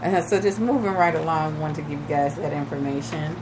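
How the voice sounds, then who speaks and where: A woman radio host with a raspy, slightly shaky voice from recently losing it, chatting casually and advising listeners directly. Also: sits low, fairly even intonation, a little fast, background noise. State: recovering from lost voice, a little shaky. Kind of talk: casual radio talk on real estate. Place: radio studio.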